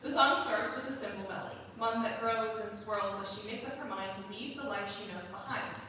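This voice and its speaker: A young adult woman giving a prepared, measured introduction as a student composer presenting her staged reading. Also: mid-range voice, sharp tone. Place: large performance hall. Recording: very echoey hall, distant mic, faint noise.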